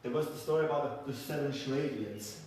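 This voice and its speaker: A man tells folk tales live in a halting way, repeating phrases as he goes. His voice sits at a middling pitch. It comes from some distance in a strongly reverberant room with faint noise. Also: a little mellow. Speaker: storyteller. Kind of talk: live folk tale telling to a group. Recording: echoey room, far from mic, faint noise.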